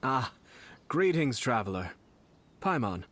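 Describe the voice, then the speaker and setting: A man's voice: mid-range voice, big pitch swings, takes it slowly, fairly bright tone, slight background noise. An actor playing a poised, formal and courteous young nobleman in a video game. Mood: gracious, relieved. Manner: formal, polished.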